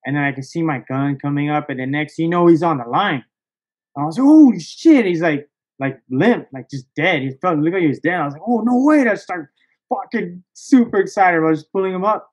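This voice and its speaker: A man telling a story casually as a podcast guest, in a slangy, excitable way with plenty of swearing. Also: on the high side, brisk. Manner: slangy, excitable, profanity-laced, peppered with "bro" and "dog".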